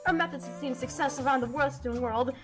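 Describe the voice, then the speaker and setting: A woman voicing a loud, bubbly Canadian goose office consultant with pushy, chirpy corporate pep in an animated comic dub. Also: sits high, fairly quick, over music, natural voice. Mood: businesslike.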